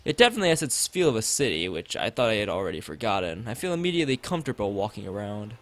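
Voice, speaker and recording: A man's voice: lowish voice, big pitch swings, rapid-fire. A man live streaming a visual novel, reading its text aloud and drifting into rambling asides to the chat. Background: background game music.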